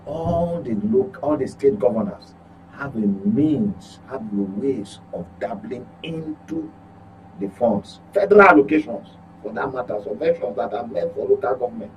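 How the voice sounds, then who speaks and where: A Nigerian man delivers opinionated political commentary in a medium-pitched voice. He is emphatic, repeating his points and posing rhetorical questions to press them home. A clear humming noise sits behind his voice. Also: lively intonation, normal speed, lo-fi sound. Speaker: journalist, commentator. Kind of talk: current affairs talk, opinionated commentary.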